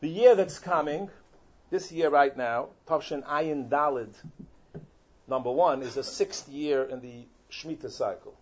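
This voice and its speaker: A man, a rabbi, teaching a Torah sermon with animated delivery, weaving many Hebrew and Yiddish terms into his English. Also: on the high side, measured pace, breathy edge. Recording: dull lo-fi recording.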